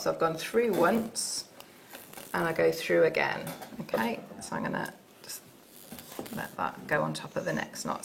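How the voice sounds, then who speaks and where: Gravelly-voiced woman demonstrating a craft. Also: fairly low pitch, a little hiss or hum. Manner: hesitant, explains with many fillers and restarts. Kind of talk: step-by-step demonstration. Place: TV studio.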